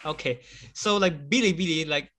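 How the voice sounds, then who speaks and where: A fairly high, bright-voiced man whose first language is Chinese talks loosely as a guest. His tone is forceful and clear, and he keeps laughing lightly between thoughts and leans on fillers as he goes. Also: moderate pace. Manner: chatty, laughs often, many fillers.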